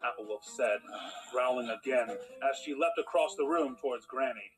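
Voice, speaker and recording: A man's voice: mid-range voice, normal speed, music in the background, rough recording. A man narrating a scripted fairy tale in storybook style.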